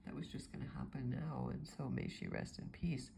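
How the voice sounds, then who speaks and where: A woman's voice: very deep voice, huge pitch swings, moderate pace, creaky, mellow, a little hiss or hum. A woman astrologer with a stuffy nose from a cold, talking through a forecast in a meandering, thinking-aloud way.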